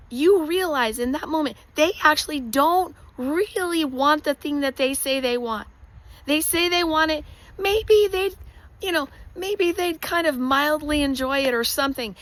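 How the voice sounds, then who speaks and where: A woman with a raspy, hoarse voice giving an earnest motivational talk straight to the listener, often clearing her throat. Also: high-pitched, a bit bright, rough recording. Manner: earnest, passionate, direct address with rhetorical questions. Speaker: motivational speaker. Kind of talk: motivational monologue.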